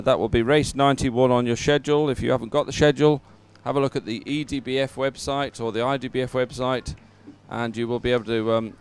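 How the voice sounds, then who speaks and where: A man giving casual, informal live sports commentary in a clear voice, often hesitating as he thinks aloud. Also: mid-range voice.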